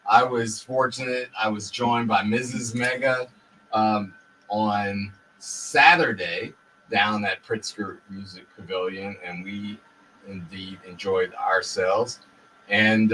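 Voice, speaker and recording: A man hosting a radio show from a studio, talking in a relaxed, measured way. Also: mid-range voice, far from the mic, a little hiss or hum, poor audio. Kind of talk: casual radio chat.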